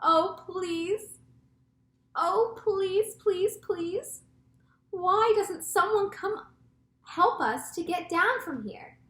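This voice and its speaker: Woman as a high, pleading giant lady spider. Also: animated, drawn-out words, long pauses, a bit bright, roomy sound, exaggerated voice. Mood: pleading, giddy. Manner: plaintive pleading. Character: giant female spider, dizzy, anxious, pleading.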